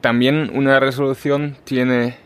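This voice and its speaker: A man with a German accent chats casually as a podcast co-host. He talks at an ordinary pitch and thinks aloud, pausing often on fillers and restarting his sentences. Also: flat delivery, clear, resonant tone. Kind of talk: casual chat.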